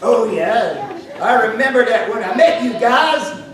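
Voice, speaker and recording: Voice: medium pitch, expressive, measured pace, recorded from a distance, a crowd in the background, close to an everyday voice. A performer playing Salty, a stranded island castaway in a church skit, talking in a folksy, drawling way. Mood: earnest. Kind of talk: comic skit dialogue. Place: large church hall. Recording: echoey hall, picked up from afar.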